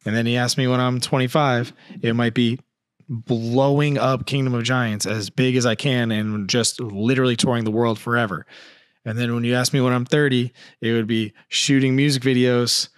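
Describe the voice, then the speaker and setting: A man in his thirties as a podcast guest, telling stories animatedly with restarts and trailing phrases, at a boom mic in a studio. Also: steady tone, moderate pace, clear, resonant tone, no room sound. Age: 30s. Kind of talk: casual podcast chat. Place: dim studio set on a couch. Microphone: boom mic, headphones.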